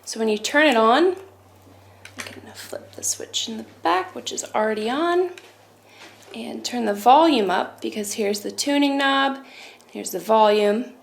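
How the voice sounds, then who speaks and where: A woman with a fairly high voice gives a casual, hands-on product walkthrough. She explains each step plainly as a review presenter. There is noticeable background noise in the recording. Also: a little slow, vocal fry.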